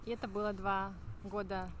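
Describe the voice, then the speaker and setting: A woman hosting an interview in a clear, teacherly way, repeating and rephrasing her guest's answers. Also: mid-range voice, level tone, normal speed, a bit bright, a little hiss or hum. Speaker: host. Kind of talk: casual interview.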